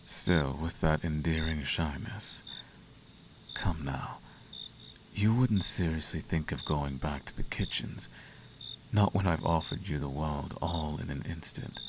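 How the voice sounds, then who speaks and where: A man playing a seductive, imperious demon prince in a flirtatious roleplay ASMR, speaking in a courtly, teasing manner with a creaky low voice. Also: a little slow, noisy background. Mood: seductive, indulgent.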